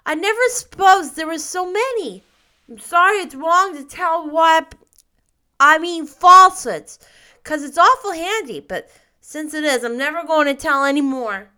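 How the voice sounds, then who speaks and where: A woman's voice: very high voice, unhurried, bright, forceful tone, pitched above the performer's own voice, exaggerated voice. A woman voicing an impish, earnest little boy from a storybook, blurting things out frankly in childish, ungrammatical speech. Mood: curious, frank. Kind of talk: storybook character voice.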